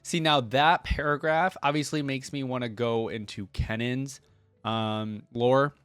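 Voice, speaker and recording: A man hosting a reaction video, reading text aloud and commenting casually as he thinks out loud to his viewers. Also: medium pitch, steady tone, a little slow, clean tone, no room sound.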